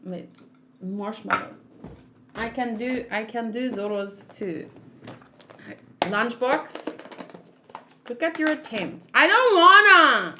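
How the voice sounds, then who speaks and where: A woman hosting a read-along in a casual, expressive and giggly reaction style. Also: on the high side, takes it slowly, pauses often, noisy background. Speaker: host of a manga read-along.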